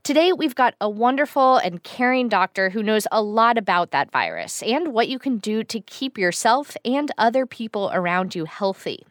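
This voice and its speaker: Clear, friendly woman radio host. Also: a little high, big pitch swings, no room sound. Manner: clear, friendly, measured delivery. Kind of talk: scripted radio hosting for kids. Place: radio studio. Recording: dry studio sound.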